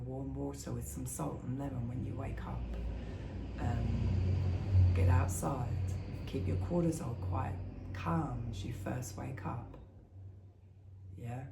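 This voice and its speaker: Croaky woman sharing a soothing energy forecast. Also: rumbling low, roomy sound, background noise. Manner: chatty, often asks a tag "yeah?". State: croaky voice after flu. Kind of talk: casual spiritual chat.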